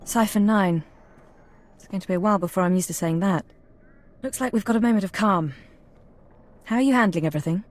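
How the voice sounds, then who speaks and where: An actress playing a professional intelligence analyst in a video game, earnest and reserved, with a measured, formal delivery. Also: female voice, mid-range voice, darkish tone, lightly acted. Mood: candid, subdued.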